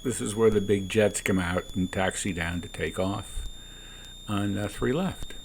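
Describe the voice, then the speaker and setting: A man who is a pilot, giving calm explanatory commentary on his own flight from the cockpit of a small plane. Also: on the low side, measured pace. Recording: noisy small-plane cockpit, radio transmissions.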